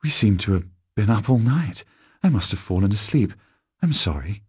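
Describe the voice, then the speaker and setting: Delicate woman, played by a male narrator, raised pitch, husky, gothic audiobook, dry sound. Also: lively intonation, moderate pace, no room sound. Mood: startled, apologetic. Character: delicate woman under a vampire's spell. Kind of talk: gothic horror audiobook character.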